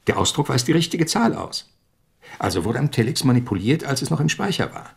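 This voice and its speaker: An actor playing a middle-aged corporate executive in a radio drama, speaking in a formal, businesslike and insistent way. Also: male voice, mid-range voice, sing-song, a little fast, gravelly, slightly rough, heavily acted.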